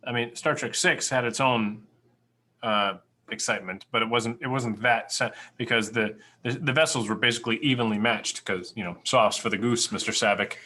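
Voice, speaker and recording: A man's voice: mid-range voice, fairly even intonation, moderate pace, sharp tone. A man co-hosting a casual fan chat, emphatic and given to repeating phrases for effect. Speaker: podcast co-host who puts questions to the others. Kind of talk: casual banter and fan commentary.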